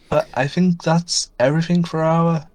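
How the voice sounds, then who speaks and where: A man co-hosting a podcast in casual, self-deprecating chat, with a soothing voice. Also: a little high. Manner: self-deprecating, excitable storytelling. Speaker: podcast co-host.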